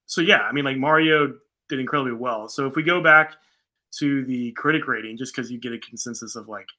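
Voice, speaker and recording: A man's voice: medium pitch, fairly quick, sharp tone. An American man co-hosting a show in casual chat, reading things out and reacting in informal, slangy asides. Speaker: show co-host.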